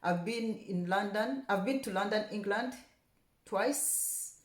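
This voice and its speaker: Young woman from Rwanda, casual vlog delivery, repeats words for emphasis, mid-pitched, echoey room sound. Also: slow. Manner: chatty, repeats words for emphasis, grows passionate. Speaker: vlogger. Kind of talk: casual talk to camera.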